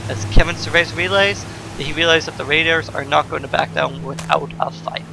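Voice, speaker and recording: A man's voice: a little high, fairly even intonation, moderate pace, vocal fry, background noise. A man giving casual commentary as the host of a gameplay video. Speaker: let's play host. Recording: game music behind the voice. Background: video game music.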